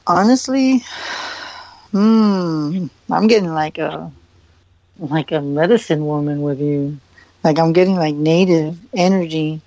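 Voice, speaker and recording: A man's voice: fairly high pitch, steady tone, slow, dark tone, dry recording, highs cut off. A man working as a psychic medium on a live radio show, giving a hesitant reading full of fillers and repeated likes.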